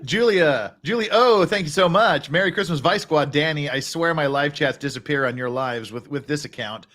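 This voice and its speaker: A man's voice: fairly high pitch, moderate pace, a bit bright. A man hosting a casual livestream, joking and reading out messages. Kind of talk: casual banter.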